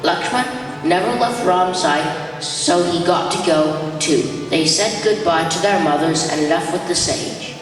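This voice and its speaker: A woman's voice: lowish voice, a little slow. A woman narrating a scripted story for a school stage play. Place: large school gym. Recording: echoey large hall, distant, music, crowd.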